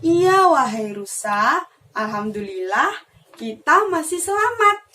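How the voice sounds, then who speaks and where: A woman voicing a wise, helpful little mouse deer in a storybook fable, speaking in a measured, advising way. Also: high-pitched, sing-song, bright, forceful tone, heavily acted. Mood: relieved. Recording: distant mic with faint noise.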